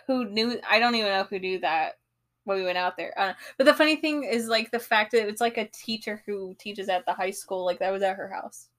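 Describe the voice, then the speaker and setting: A woman's voice: mid-range voice, brisk, fairly bright tone. Chatty young woman telling a story. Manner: rambling, many fillers, laughs often. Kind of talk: casual chat.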